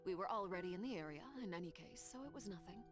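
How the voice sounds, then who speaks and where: A woman's voice: on the low side, expressive, racing, natural voice. A woman playing a composed, commanding leader in a video game, speaking formally and with control over music. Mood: cool, composed. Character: composed, commanding female leader of a powerful organization. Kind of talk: English game voice acting.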